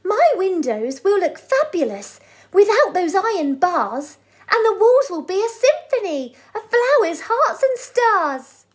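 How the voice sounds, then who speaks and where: Woman as an excitable high-pitched chimpanzee. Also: a little slow, heavily acted. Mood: excited, gleeful. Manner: gushing, eager. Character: excitable, flamboyant townsfolk chimpanzee.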